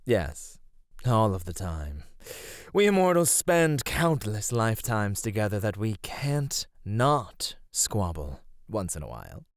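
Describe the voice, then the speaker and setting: A man acts out a sly god of beauty for an ASMR roleplay. The voice sits low and moves slowly, with animated rises and falls. He teases and coaxes like a flirt who has all of eternity. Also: no room sound, studio quality. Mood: teasing, sly. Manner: teasing, coaxing, unhurried.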